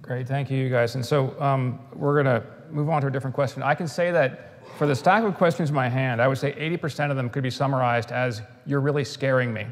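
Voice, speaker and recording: A man in his forties moderating a panel, reading audience questions in a brisk, relaxed way. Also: mid-range voice, big-room sound. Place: large auditorium stage. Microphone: lapel mic.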